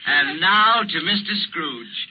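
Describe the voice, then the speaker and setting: An actor playing a gentle, devout, poor father in a mild, earnest manner in 1930s radio drama. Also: a little high, big pitch swings, slow pace, slightly rough, full character voice. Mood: warm, festive. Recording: muffled, noisy 1939 radio broadcast.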